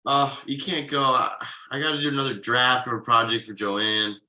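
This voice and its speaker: A man's voice: medium pitch, fairly even intonation, normal speed, pushed and bright, rough recording. A man playing an exasperated, wronged friend and young father in a comedy table read, stammering and incredulous in an emphatic, conversational way.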